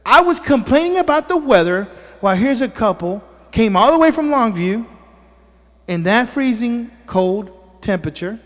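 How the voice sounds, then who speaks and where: High-voiced joking pastor, native Spanish speaker. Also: male voice, big pitch swings, moderate pace, a bit bright, in a large hall. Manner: teasing, joking asides, frequent "amen?". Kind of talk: sermon. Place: church sanctuary.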